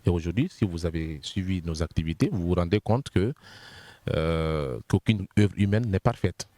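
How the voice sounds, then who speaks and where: A man's voice: low-pitched, normal speed, mellow, no room sound. A man with a Guinean French accent, an official of the constitutional body, methodically explaining points one by one in an interview and sometimes repeating words as he searches.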